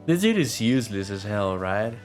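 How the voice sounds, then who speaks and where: A man's voice: on the low side, big pitch swings, moderate pace, soft background music. A man live streaming a game, chatting informally, swearing and thinking aloud as he plays. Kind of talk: casual live playthrough commentary.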